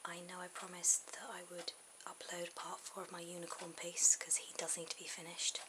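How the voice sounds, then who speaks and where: A woman softly whispering an ASMR drawing tutorial in a breathy, informal way, pausing mid-thought. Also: fairly low pitch, completely flat delivery. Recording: very noisy amateur camera audio.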